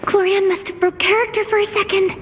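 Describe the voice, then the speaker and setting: A woman's voice: very high-pitched, little pitch movement, brisk, a little hiss or hum. An actress playing a sensible, analytical young woman in a video game, talking in a thoughtful, matter-of-fact way. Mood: puzzled.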